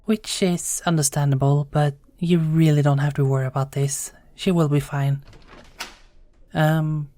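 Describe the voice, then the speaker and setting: Kind bedside nurse for audio drama, female actor: lowish pitch, soothing, courteous, dry close sound. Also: level tone, measured pace, dry recording. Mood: reassuring. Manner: gentle, polite, soothing. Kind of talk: audio roleplay acting.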